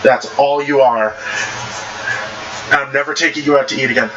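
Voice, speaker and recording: A man's voice: mid-range voice, fairly even intonation, moderate pace, dull audio. A man casually reading online stories aloud, stumbling over words at times and adding blunt, slangy commentary, with noisy background ambience. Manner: blunt, slangy, informal reactions. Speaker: online story reader and commentator.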